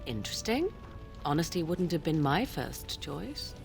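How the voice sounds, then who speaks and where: A sly haughty game witch, lowish voice with a creaky edge, old-timey wording, very knowing. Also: very animated, faint music behind, full character voice. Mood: sly, composed. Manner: archaic diction, haughty, deliberate. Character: cool, sly young witch, archaic and knowing. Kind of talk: video game dialogue acting.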